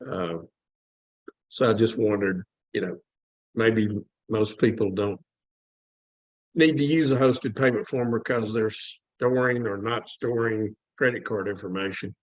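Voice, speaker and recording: A man speaks very slowly in a fairly low voice, with little room sound. He puts his questions deliberately, in short phrases, during a casual tech discussion. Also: no room sound, low-quality recording. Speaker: caller asking questions.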